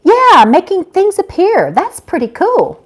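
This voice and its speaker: A woman's voice: extremely high pitch, wildly swooping pitch, measured pace, voice pushed up, heavily acted. A woman voicing a cheeky, playful novice angel who is eager and teasing, in a storybook reading.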